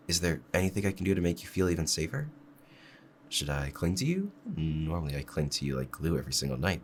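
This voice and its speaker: Guy doing a low soft clingy fox spirit husband, adoring yandere asmr roleplay, some background noise. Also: full character voice. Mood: clingy, hesitant. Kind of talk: intimate ASMR roleplay addressed to the listener.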